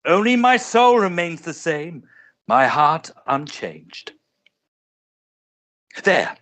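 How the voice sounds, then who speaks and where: High-voiced, oily melodrama villain suitor. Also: male voice, fairly bright tone. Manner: florid, obsequious, overwrought. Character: scheming middle-aged gentleman suitor, oily, pleading villain. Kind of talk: old-style radio theatre melodrama acting.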